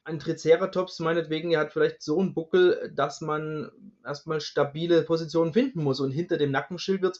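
A man with a fairly high voice explains things as an expert guest. He is informal and matter-of-fact, working through examples. The recording sounds somewhat dull and band-limited.

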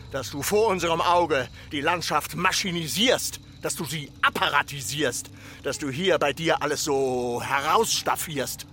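An actor playing a stern, threatening villager who insistently admonishes and demands order, in a literary radio drama. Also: male voice, medium pitch, sharp tone, slight background noise. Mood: indignant.

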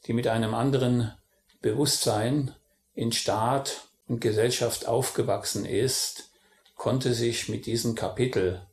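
A man's voice: fairly low pitch, echoey room. A man answering interview questions thoughtfully, with a few small restarts. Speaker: interviewee, civil rights activist.

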